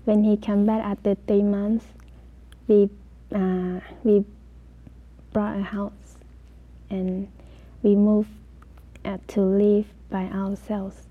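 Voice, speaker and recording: A young woman from Laos telling her own story as an interview guest, in halting, simple phrasing. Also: medium pitch, level tone, husky, phone-quality sound. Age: young adult.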